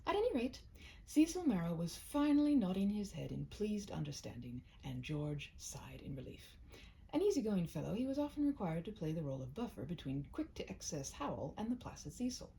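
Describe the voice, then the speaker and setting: A woman's voice: lowish voice, very animated, brisk, gravelly, mellow, slight background noise. A woman speaking as a storyteller in a cozy, intimate one-to-one style, chatty and a little self-conscious. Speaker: storyteller, host reading own short stories. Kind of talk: cozy roleplay chat to listener, then story read-aloud.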